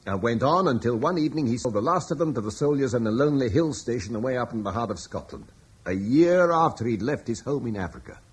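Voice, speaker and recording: An earnest landowner devoted to the memory of Rome. His husky, mid-pitched voice rises and falls expressively as he argues with eloquent persuasion in a classic radio play. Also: animated, fast talker. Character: earnest Romano-British landowner who reveres Rome. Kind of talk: classic radio drama acting.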